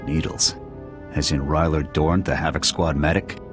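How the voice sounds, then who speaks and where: A man's voice: a bass voice, fairly even intonation, normal speed. An actor playing a serious male squad commander who speaks tersely and bluntly. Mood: stern. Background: music.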